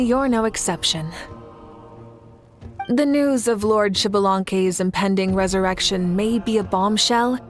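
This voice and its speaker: A woman plays a composed, kindly warrior leader from a video game. Her medium-pitched voice is assured and measured, with eloquent phrasing. Faint music runs underneath. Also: natural voice. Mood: resolute. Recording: studio voice over background music.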